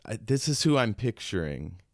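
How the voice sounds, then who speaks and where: A man's voice: fairly low pitch, very animated, moderate pace, no room sound, studio quality. A man co-hosting a podcast, casually discussing a book and reading bits of it out. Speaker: podcast co-host.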